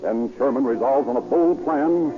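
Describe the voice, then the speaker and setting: A man's voice: mid-range voice, normal speed, music in the background. Solemn dramatic male radio narrator. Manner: solemn, florid delivery. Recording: muffled old radio recording.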